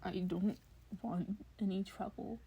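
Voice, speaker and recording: Woman as a very soft, hesitant teenage boy student. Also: mid-range voice, steady tone, slightly rough, full character voice. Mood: nervous, reluctant. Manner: hesitant, halting. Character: uneasy, guarded young male student, defensive, earnest.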